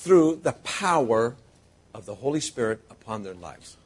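A man preaching a sermon in short phrases, pausing after each one for an interpreter. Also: mid-range voice, big pitch swings, breathy edge. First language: English. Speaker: guest preacher.

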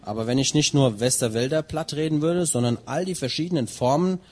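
A man interpreting a sermon phrase by phrase, and at times reading a long scripture passage at a steady pace. His mid-pitched voice has a husky grain. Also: a little fast. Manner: translates line by line, with some fillers and restarts.

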